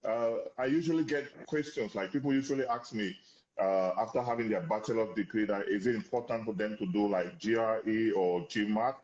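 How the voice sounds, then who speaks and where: A man's voice: fairly low pitch, brisk, darkish tone. Man, interview host, hesitant questions, muffled lo-fi sound, roomy echo.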